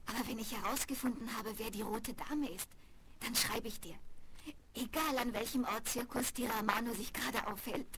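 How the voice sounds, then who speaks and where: A woman's voice: on the high side, fairly even intonation, normal speed, fairly bright tone, lightly acted. An actress playing an affectionate, superstitious young circus girl in a radio play, with an emotional, effusive delivery. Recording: noisy 1970s vinyl radio play.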